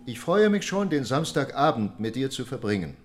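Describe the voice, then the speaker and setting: An actor playing a formal, precise court official reading out and questioning in a radio drama. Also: male voice, medium pitch, very animated, moderate pace, natural voice.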